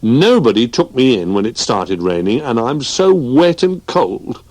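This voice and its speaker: Man doing a damp storybook teddy bear, high-pitched and whiny. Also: sing-song, measured pace, a little mellow. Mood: plaintive. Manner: whiny, plaintive. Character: damp, cold, plaintive male teddy bear.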